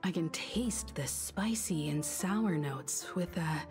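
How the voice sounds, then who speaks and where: A refined adult woman from a video game, low in pitch and articulate. She sounds poised and thoughtful, with music clearly behind her. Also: lively intonation, slow, a little mellow. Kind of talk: English game voice acting. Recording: game dialogue over clear background music.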